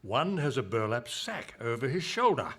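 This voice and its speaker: A man's voice: medium pitch, sing-song, moderate pace, a bit bright, breathy edge. A man playing a droll narrator, announcing a comic radio play in an arch manner. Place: live performance on stage in a hall.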